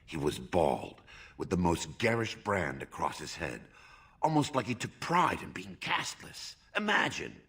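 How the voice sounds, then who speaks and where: An actor playing a fussy dwarf archive keeper in a video game, theatrical, indignant and scornful. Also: male voice, low voice, very animated, unhurried, gravelly, a bit bright, full character voice. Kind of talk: video game dialogue acting.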